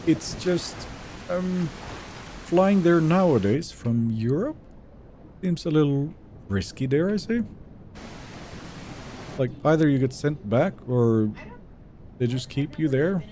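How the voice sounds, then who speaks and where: A male game streamer, raised in Sweden with Finnish as his first language, chats his way through a live session. His voice is mellow and soft-edged, yet his pitch bounces around a lot as he reacts to viewers. There is a faint hiss behind him. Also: lively intonation, slow pace, long pauses. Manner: chatty, reads viewer messages aloud and answers them. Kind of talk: casual live-stream chat while gaming.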